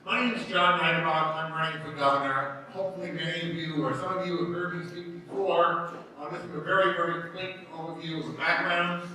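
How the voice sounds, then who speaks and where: A man's voice: fairly high pitch, steady tone, brisk, bright, forceful tone, a little hiss or hum. A man in his sixties with a slight East Coast accent gives an earnest, chatty campaign speech at a candidate forum, joking with the crowd. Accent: slight East Coast American. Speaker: political candidate. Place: large hall. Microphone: podium mic. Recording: echoey hall, mic heard from a distance.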